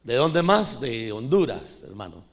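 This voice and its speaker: A man's voice: medium pitch, sing-song, measured pace. A middle-aged man from Costa Rica preaching a sermon in a conversational way, often calling the listeners brother. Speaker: pastor.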